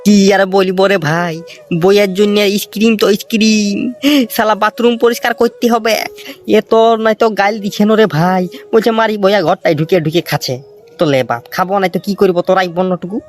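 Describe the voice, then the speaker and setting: A man doing a fast, high-pitched grumbling vendor. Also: fairly even intonation, faint music behind, close to an everyday voice. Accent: Purulia Bengali. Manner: complaining, brusque. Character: grumbling, put-upon bald village ice cream seller. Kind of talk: comedy cartoon voice acting.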